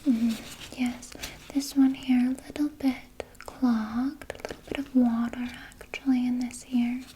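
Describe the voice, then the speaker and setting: A woman voicing a soothing, sly vampire nurse in a gentle, breathy ASMR whisper, reassuring a patient with small hesitant pauses. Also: on the high side, flat delivery, a little hiss or hum, close to an everyday voice, poor audio. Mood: gentle, clinical. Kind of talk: ASMR personal attention roleplay.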